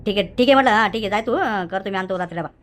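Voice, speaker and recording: A woman's voice: medium pitch, racing, close to an everyday voice. A woman voicing an impatient, money-minded village man in a comedy cartoon, repeating phrases. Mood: bargaining. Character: hurried, money-minded male village go-between. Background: faint music.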